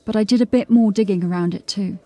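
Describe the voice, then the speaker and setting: A woman's voice: mid-range voice, fast talker, warm tone, natural voice. Woman acting a drily witty police constable. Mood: dry, informative. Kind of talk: fantasy audio drama acting.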